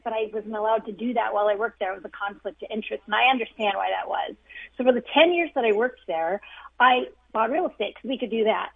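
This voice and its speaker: A woman in her fifties from the United States talks over a tinny phone line as a guest. She tells her story in a friendly, self-deprecating way and laughs easily. Her voice is a little husky, and the sound is dry and close. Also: fairly high pitch, dry recording. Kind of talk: interview storytelling.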